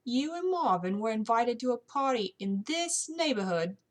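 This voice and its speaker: A woman's voice: fairly high pitch, sing-song, moderate pace, voice pushed up. A woman playing a formal male police officer questioning servants in a period story, with a put-on British accent. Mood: incredulous. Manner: formal, inquisitive.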